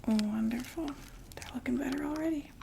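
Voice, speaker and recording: A woman whispering a gentle, soothing ASMR roleplay as a friendly makeup saleswoman, often repeating words softly. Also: sits high, normal speed, vocal fry, noisy background. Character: friendly female vampire door-to-door makeup saleswoman.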